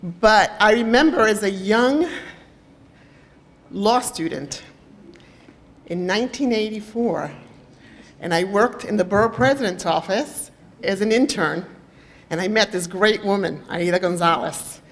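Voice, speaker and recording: A woman's voice: medium pitch, unhurried, pauses often, a little hiss or hum. Dominican-born woman giving a rambling speech. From Dominican Republic. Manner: warm, rambling, mixes in Spanish. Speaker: judge and honoree speaking at a ceremony. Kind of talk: off-the-cuff ceremony speech.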